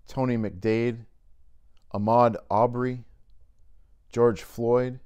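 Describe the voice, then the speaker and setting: A middle-aged man reads scripture and a devotional in a deliberate, unhurried voice of middle pitch. He is a pastor, seated in a cozy room indoors and recorded by a camera a short way off. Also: a little mellow. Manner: slow, measured reading aloud from a binder. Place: indoors in a home-style room by a fireplace.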